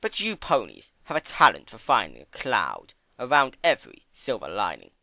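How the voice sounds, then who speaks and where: A man's voice: medium pitch, wildly swooping pitch, moderate pace, sharp tone, dry recording, full character voice. A man voicing a scheming male trickster creature in a theatrical dramatic storybook reading. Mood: calculating. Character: Discord, sly male trickster creature with talons. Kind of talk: dramatic fan fiction reading.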